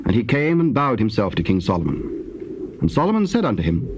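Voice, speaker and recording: A man narrating dramatized scripture in a formal, solemn and measured style. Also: medium pitch, sing-song, dull audio. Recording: noisy, hissy old cassette tape.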